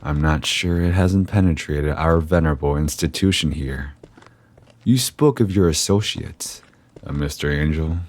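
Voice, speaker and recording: An actor playing a grave, ominous university scholar in a horror audio drama, speaking formally and deliberately with a theatrical air. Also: male voice, extremely low pitch, a little mellow. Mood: grave, guarded. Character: grave, ominous male university scholar guarding occult secrets.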